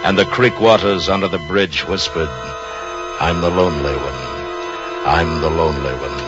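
Very deep, ominous radio narrator. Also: male voice. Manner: measured, literary, ominous. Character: grave male storyteller of a suspense tale. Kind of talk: old-time radio suspense narration. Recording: dull vintage radio recording with music.

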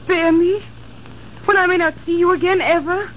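A woman's voice: very high-pitched, fairly even intonation, normal speed. An actress playing a devoted young woman who pleads emotionally with her lover in a 1940s radio drama. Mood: pleading. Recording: muffled old radio broadcast with faint hiss.

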